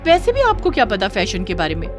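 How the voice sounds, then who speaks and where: A woman voicing a spiteful, haughty young sister-in-law in a moral story, sneering, sharp-tongued and condescending. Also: medium pitch, huge pitch swings, a little fast, over music. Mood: haughty, dismissive. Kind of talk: acted dialogue in a moral story.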